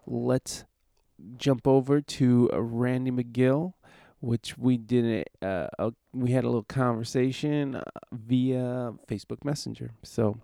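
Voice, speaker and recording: Podcast host, male, a bit hoarse from a cold, rambling delivery, smooth dry close sound. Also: mid-range voice, steady tone, slow, warm tone, dry recording. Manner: rambling, easygoing, many fillers. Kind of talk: casual podcast chat.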